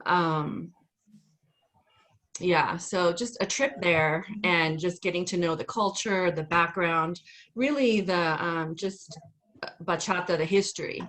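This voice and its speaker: Relaxed, lower-voiced dance instructor woman. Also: slow pace. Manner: relaxed, hesitant with many fillers. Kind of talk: casual podcast interview.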